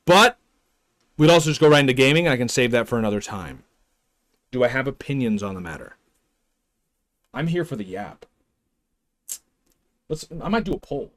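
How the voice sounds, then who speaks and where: A man's voice: medium pitch, animated, normal speed, long pauses, fairly bright tone. A young man streaming live, chatting casually with his viewers in a rambling way, chuckling often and trailing off.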